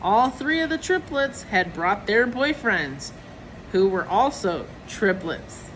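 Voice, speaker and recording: A woman reading a children's picture book aloud in a deliberate storytelling manner, acting out the characters' lines. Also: mid-range voice, big pitch swings, a bit bright, slight background noise, rough recording. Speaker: storybook reader.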